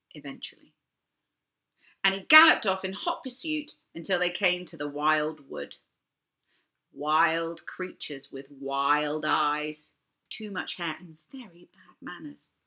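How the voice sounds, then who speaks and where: An older woman reading a children's picture book aloud, theatrically, with dramatic pauses and emphasis. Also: sits low, pushed and bright. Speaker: storybook reader.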